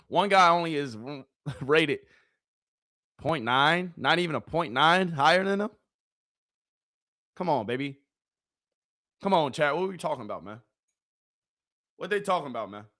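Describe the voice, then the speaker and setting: A male stream host with a hoarse, worn voice pitched a little high. He talks to his chat in loose, animated bursts, circling back to the same catchphrases again and again. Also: measured pace, pauses often. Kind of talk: casual watch-party chat.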